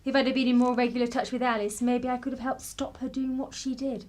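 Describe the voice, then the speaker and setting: A woman plays a composed, secretive lady in a radio drama. Her voice sits fairly high, genteel and wry, with music beneath it. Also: steady tone, fast talker, a little mellow, natural voice. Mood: polite, reflective. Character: polite, composed woman with a secretive wartime past.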